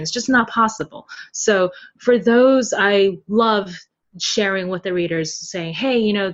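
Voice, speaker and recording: A chatty woman speaking casually as an interview guest, quick to laugh, explaining her work as a translator. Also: medium pitch, dull audio. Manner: chatty, laughs readily while talking. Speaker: podcast guest, web novel translator.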